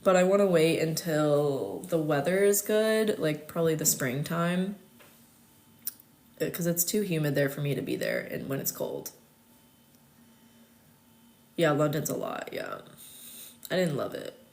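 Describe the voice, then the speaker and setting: Chatty young American woman, lowish voice. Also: very animated, unhurried, creaky, long pauses, a little mellow. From United States. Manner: chatty and loose, with fillers and laughs. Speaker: astrology content creator. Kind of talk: casual livestream chat answering comments.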